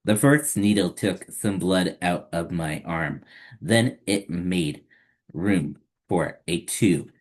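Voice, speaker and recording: Children's storytime reader: man, low register, unhurried delivery, read-aloud picture book narration. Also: lively intonation.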